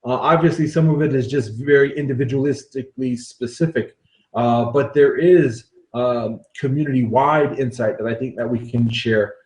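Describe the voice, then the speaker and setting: A man's voice: mid-range voice, darkish tone. Roomy-sounding man hosting a podcast. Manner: thoughtful, halting, many fillers and restarts. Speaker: podcast host. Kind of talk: conversational podcast interview. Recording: roomy echo.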